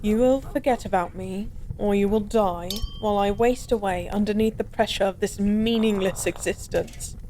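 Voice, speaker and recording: A woman's voice: medium pitch, mellow, a little hiss or hum, lightly acted, low-quality recording. A woman playing an ancient fallen goddess, drunk, weary and resigned, in an intimate, melancholy audio roleplay monologue. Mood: bitter, resigned. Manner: slow, brooding, melancholy drunken rambling.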